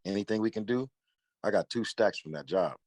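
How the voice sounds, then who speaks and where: An actor playing a tough, impatient young male hustler in a screenplay table read, confrontational and slangy, with a husky voice. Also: sits low, a little fast, a little mellow, no echo, clean studio sound. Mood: casual, determined.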